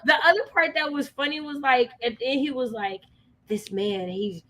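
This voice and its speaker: A woman with an American accent and a high, bright voice chats casually on a book livestream. She tells things dramatically, with slangy, animated phrasing. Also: normal speed. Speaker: co-host of a book discussion livestream. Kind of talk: casual chat.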